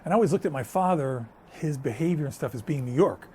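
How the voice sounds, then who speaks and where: Man, from the United States, interview guest, fast talker, mellow tone. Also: a little high, slight background noise. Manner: storytelling, animated and talkative. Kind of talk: casual conversation.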